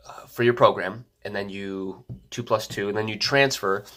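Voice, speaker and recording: A man's voice: lowish voice, moderate pace, fairly bright tone. A stuffed-up young man talking casually to his camera at home, rambling with many ums and restarts. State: congested, stuffed-up nose. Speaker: vlogger. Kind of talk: casual vlog talk to camera.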